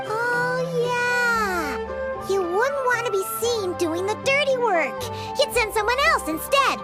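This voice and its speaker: Tiny squeaky excitable fairy companion. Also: female voice, takes it slowly, loud music behind the voice, heavily acted. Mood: pondering, excited. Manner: speaks of self in third person, exclamatory. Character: small childlike fairy companion, excitable, naive, talkative. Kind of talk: animated video game voice acting.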